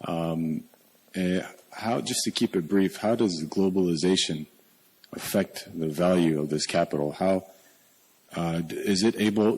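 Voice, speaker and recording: A man's voice: deep voice, a little mellow, husky, rough recording. A man from Sudan in the audience, asking a long, articulate question.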